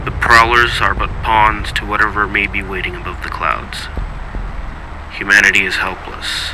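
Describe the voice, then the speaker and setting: An actor playing a grave, despairing man who delivers a solemn, deliberate apocalyptic address to humanity. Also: on the low side, level tone, background noise, lightly acted. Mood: hopeless, resigned. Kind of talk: dramatic scripted apocalyptic address. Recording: voice under loud music. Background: loud music.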